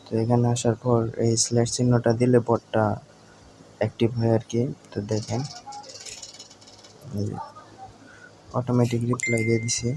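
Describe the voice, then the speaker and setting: A man with a Bangladeshi accent narrates an informal screen tutorial, explaining step by step in a halting way. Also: medium pitch, monotone. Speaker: tutorial presenter. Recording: home recording with faint background noise.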